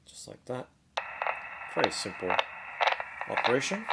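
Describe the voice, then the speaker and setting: Man, casual product reviewer, gravelly, very slow, halting short phrases, step-by-step demo. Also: medium pitch, very animated, heavy background noise. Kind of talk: casual unboxing and hands-on demonstration.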